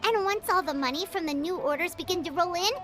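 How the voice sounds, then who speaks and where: A woman voicing a small, eager, chatty childlike companion in a video game, with a squeaky voice. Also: fast, over loud music. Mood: reassuring, confident. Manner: bubbly, informal, eager.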